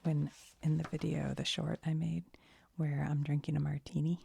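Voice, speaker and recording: A woman giving a soft-spoken, mostly whispered ASMR ramble, breathy and conversational, tentative and often trailing off. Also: low-pitched, little pitch movement, normal speed, a little hiss or hum. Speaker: ASMR video host.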